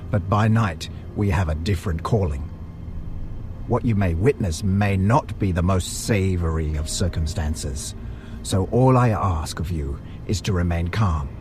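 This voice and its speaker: A man performs a villainous butcher boss for an audio drama. His voice sits low, and he talks with theatrical, mock-polite formality and quiet threat. Music plays clearly behind him. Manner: formal, theatrical, falsely courteous. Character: maniacal butcher crime boss, menacingly polite.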